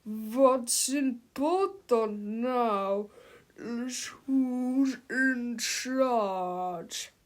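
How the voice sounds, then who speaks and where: A woman voicing a giant, bossy male villain in a read-aloud storybook, with a drawn-out, growling, domineering manner. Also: on the high side. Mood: commanding.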